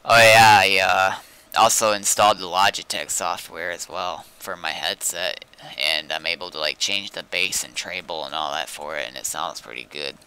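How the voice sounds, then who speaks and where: Deep gravelly man, very bright sound. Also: moderate pace, low-quality recording. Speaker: streamer playing a zombie survival game. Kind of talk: casual gaming chat.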